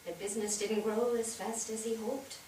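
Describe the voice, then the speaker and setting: A woman playing a grieving older widowed mother in a staged reading, with a plaintive, halting delivery full of shame and regret. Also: fairly high pitch, level tone, warm tone, lightly acted. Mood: sad, reflective. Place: theatre stage, picked up from far off. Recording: distant echoey theatre, noticeable noise.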